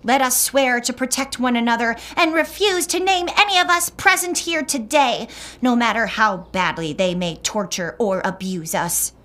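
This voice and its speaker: A woman's voice: fairly high pitch, normal speed, fairly bright tone, natural voice. A woman acting a pious, gossipy leader of a Puritan sewing circle in a comedy audio drama, sweetly sanctimonious and theatrical. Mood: pious, fervent.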